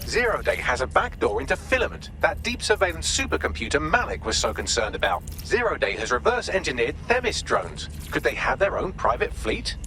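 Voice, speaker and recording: A wry, talkative male AI assistant from a video game. His voice is bright and very animated, and he delivers analysis with dry sarcasm. There is faint noise behind him. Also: fairly high pitch, fairly quick, few pauses, exaggerated voice. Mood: briskly analytical. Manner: wry, sardonic, verbose.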